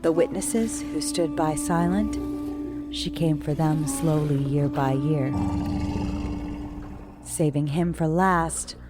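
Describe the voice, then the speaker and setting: A woman with a low voice narrates a dark folk tale. Her reading is measured, solemn and dramatic, like a scripted story. Music runs clearly behind her. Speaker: storyteller, guest writer reading own story.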